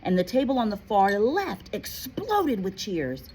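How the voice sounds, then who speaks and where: A woman reading a story aloud in an animated, playful, expressive way, like a teacher. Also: mid-range voice, unhurried, a little hiss or hum. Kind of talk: expressive read-aloud narration with casual asides.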